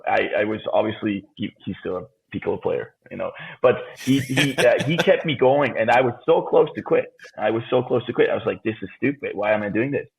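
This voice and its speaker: Gravelly Swiss man on a tinny line. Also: lowish voice. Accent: Swiss with some American. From Switzerland. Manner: laughs often, restarts phrases. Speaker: guest drummer. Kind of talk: casual interview answers. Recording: tinny phone-like remote line.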